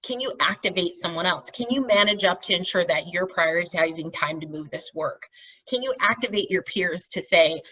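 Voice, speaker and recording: A woman with a forceful, bright edge and some rasp leads an online meeting in an informal, encouraging way. She explains step by step and checks in with her listeners. The audio is muffled and sounds away from the microphone. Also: fairly low pitch. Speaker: meeting facilitator, team lead. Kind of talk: informal presentation on a group call. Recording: dull, distant video-call audio.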